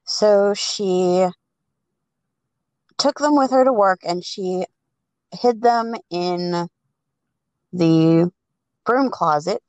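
A woman podcast host speaks at a slow, unhurried pace in a dry, close recording. She chats casually and makes up a story as she goes, halting between phrases. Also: fairly low pitch, a little mellow, no room sound. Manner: halting, pausing between phrases when improvising. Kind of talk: casual chat and improvised storytelling.

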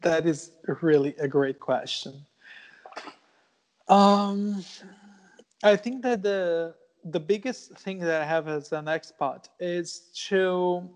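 Brazilian man, portuguese speaker, young adult, earnest and thoughtful, unhurried delivery, somewhat high voice. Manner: thoughtful, earnest, full of fillers and restarts. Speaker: guest artist. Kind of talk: casual interview.

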